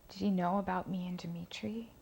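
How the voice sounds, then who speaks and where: A woman's voice: fairly low pitch, fairly even intonation, brisk, vocal fry, darkish tone, rough recording. A young woman playing a shy, hesitant daughter giving interview-style testimony, often correcting herself, in a dramatised documentary. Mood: bewildered. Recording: faint background noise.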